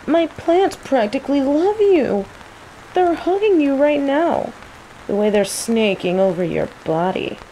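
A woman playing a cocky, teasing female mage who controls plants, in a scripted roleplay audio drama, with an unhurried, playful drawl. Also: mid-range voice, rough recording. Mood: playful, doting. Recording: steady rain ambience noise. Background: falling rain.

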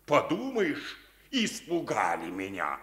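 An actor playing a callous, greedy factory owner in a Soviet radio play, sneering and blustering. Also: male voice, medium pitch, wildly swooping pitch, takes it slowly, a bit bright, full character voice. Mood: scornful.

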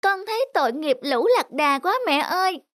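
A woman's voice: high-pitched, expressive, normal speed, fairly bright tone, a little hiss or hum. A woman voicing a curious small child in a cartoon, full of questions and exclamations. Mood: puzzled, pitying. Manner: inquisitive, exclaiming. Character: curious, wide-eyed small child at the zoo. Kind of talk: animated cartoon dubbing.

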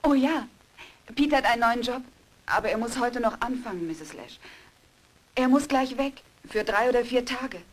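A woman's voice: fairly high pitch, normal speed, close to an everyday voice. A woman playing a polite, affectionate young wife in a horror audio drama. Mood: polite, pleased.

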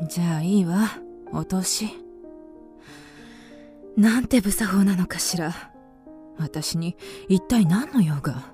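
An actress playing a haughty, grieving widow with refined, formal speech in a radio drama. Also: female voice, lowish voice, slow, long pauses, warm tone, faint music behind. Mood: cold, withdrawn. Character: proud, grieving young widow, haughty and indignant.

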